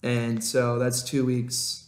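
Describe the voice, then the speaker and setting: A young man co-hosting a podcast in casual chat, rambling and excitable, laughing often. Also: mid-range voice, slow pace. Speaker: podcast co-host. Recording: roomy echo.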